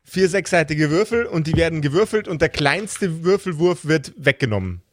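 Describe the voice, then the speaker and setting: A man's voice: fairly high pitch, lively intonation, normal speed. A man acting as game master, casually explaining the rules of a tabletop game.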